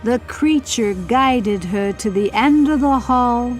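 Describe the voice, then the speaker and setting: A woman's voice: fairly high pitch, slow. A woman narrating a scripted audiobook story as a storyteller. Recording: music behind the voice.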